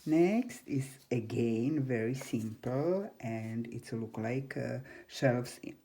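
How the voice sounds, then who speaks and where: A woman in her seventies hosting a scrapbook craft tutorial, speaking halting non-native English in a chatty, unhurried way. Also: extremely low pitch, animated, mellow. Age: 70s. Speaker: crafting channel host.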